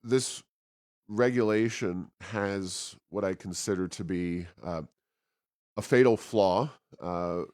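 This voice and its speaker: Low-pitched man, halting policy commentary. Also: a little mellow, no room sound, clean studio sound. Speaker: podcast host. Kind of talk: political policy commentary in conversation.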